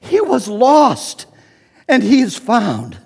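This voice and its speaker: A man's voice: very high-pitched, wildly swooping pitch, takes it slowly, darkish tone, slightly rough, voice pushed up, exaggerated voice. A man playing a loving, jubilant, forgiving old father in a dramatic Bible reading, exclaiming with emotion. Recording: echoey church hall, long reverb.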